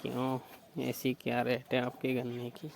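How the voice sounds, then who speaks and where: A man on a recorded phone call speaks in a gravelly, creaky voice at a mid pitch. He stays insistent and suggestive and keeps asking questions. His intonation is flat, with faint hiss on the line. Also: unhurried. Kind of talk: staged flirtatious phone call.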